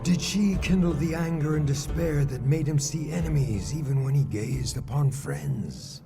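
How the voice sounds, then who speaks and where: An actor playing a solemn storyteller, narrating an ancient epic in a grave, portentous way for a video game cutscene. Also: male voice, on the high side, moderate pace, creaky, over music. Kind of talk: scripted dramatic game narration.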